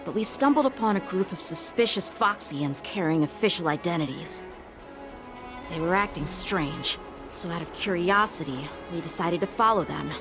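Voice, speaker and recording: A woman's voice: lowish voice, natural voice. A woman voicing an earnest, dutiful teenage boy knight lieutenant in a game, speaking formally and conscientiously. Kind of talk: acted game story dialogue.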